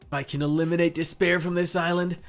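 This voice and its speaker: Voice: medium pitch, flat delivery, fast talker, vocal fry, soft background music, natural voice. Streamer voicing an unsettling, theatrical hope zealot. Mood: fervent. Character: self-deprecating, unsettlingly devoted young believer in hope. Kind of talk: reading visual novel dialogue aloud.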